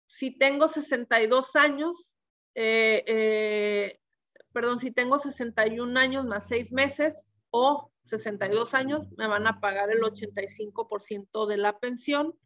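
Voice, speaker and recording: A woman's voice: on the high side, a bit bright. A middle-aged woman from Mexico giving a webinar, methodically explaining pension rules, with frequent fillers and self-corrections.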